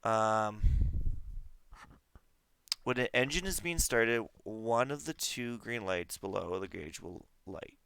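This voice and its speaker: A man playing a flight simulator at home, reading instructions back to himself in a halting, self-joking way. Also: on the low side, lively intonation, a bit bright, smooth, dry recording. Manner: repeats instructions aloud slowly, self-joking. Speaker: gamer learning a flight sim. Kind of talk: casual gameplay commentary.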